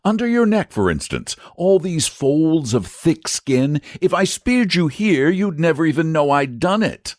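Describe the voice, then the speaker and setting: A man's voice: on the high side, sing-song, measured pace, few pauses, dry recording, studio quality, voice pushed up. A man voicing a patient, earnest young knight who talks persuasively.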